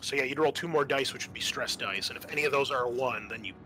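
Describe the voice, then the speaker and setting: A man with a bright, mid-pitched voice hosts a tabletop horror game. He moves between casual rules explanation and improvised narration, with faint music behind him. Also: fast talker, poor audio. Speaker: host and game master.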